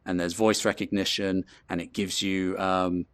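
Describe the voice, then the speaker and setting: A man with a London accent talks through his points in a relaxed, rambling way, full of ums and restarts. His voice sits a little low. The sound is dry and close to the mic. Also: normal speed, clear, resonant tone, no room sound. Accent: London English. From England. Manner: loose and chatty, many ums and restarts. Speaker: host presenting a talk. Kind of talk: casual presentation with filler words.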